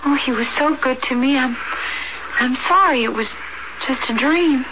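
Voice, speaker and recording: A woman's voice: sits high, moderate pace, lightly acted. An actress playing a dazed, wistful and trusting young woman in hospital, in an old-fashioned radio drama. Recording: dull, very noisy radio broadcast tape.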